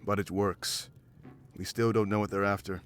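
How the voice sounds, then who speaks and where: An actor playing a stern, principled warrior guard in a fantasy visual novel, grave and challenging in manner. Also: male voice, lowish voice, little pitch movement, normal speed, a bit bright, faint music behind, lightly acted. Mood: wary, pondering.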